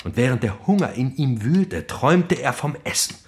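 A man narrating a literary radio play in an expressive, deliberate, theatrical manner. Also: medium pitch, close to an everyday voice.

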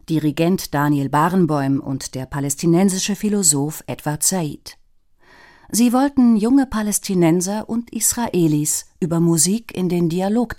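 Mellow woman narrating a documentary. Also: lowish voice. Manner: measured, even reading.

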